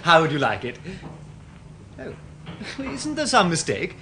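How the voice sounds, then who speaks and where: A courteous, lively English bank cashier. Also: male voice, a little high, measured pace, a little hiss or hum, heavily acted. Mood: polite, puzzled. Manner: courteous, deferential. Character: polite, chatty, dutiful male bank cashier. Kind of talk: radio drama acting.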